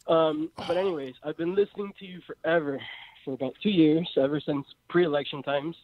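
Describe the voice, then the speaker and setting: A young man with a Mexican accent talks over a tinny phone line. His voice sits a little high and sounds dry. He rambles and hesitates, with fillers everywhere. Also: steady tone, fairly quick, no room sound. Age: 20s. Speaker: caller. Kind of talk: phone-in call to a live show.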